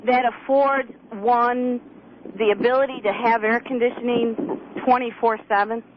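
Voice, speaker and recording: A woman's voice: a little high, steady tone, normal speed, muffled recording, noisy background. Blunt woman calling in on a phone. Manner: blunt, repetitive accusations. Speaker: caller. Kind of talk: call-in radio argument.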